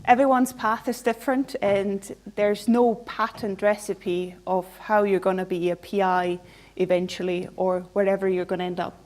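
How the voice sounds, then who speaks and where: A woman's voice: medium pitch, fairly even intonation, normal speed, darkish tone. A woman in her thirties from Switzerland with a Swiss accent, a researcher giving an informal conference presentation, candid and conversational with dry humor.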